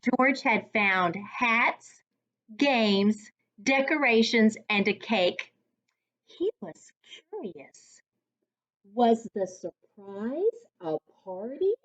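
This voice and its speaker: Slow, very animated woman reading a storybook. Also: fairly high pitch, muffled recording. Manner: expressive, deliberate storytelling for young children. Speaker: reading coach reading aloud. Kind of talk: children's storybook read-aloud.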